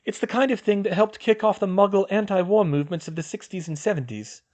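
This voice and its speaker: A man voicing a sharp, determined teenage witch who talks briskly and decisively. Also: sits high, pitched above the performer's own voice, natural voice. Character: sharp, determined teen witch, organized planner.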